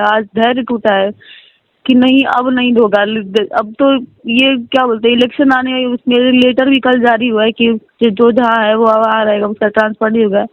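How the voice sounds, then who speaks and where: A woman's voice: fairly high pitch, dry recording. A woman teacher, tearful and pleading as she airs a grievance in a distressed phone conversation, repeating herself. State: tearful, crying. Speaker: teacher phoning about a transfer grievance. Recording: narrow-band recorded phone call.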